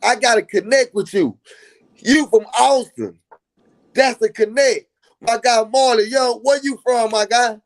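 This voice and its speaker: A man's voice: extremely high pitch, unhurried. A man from Dallas, talking earnestly in a casual live stream and repeating himself for emphasis. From Dallas, Texas.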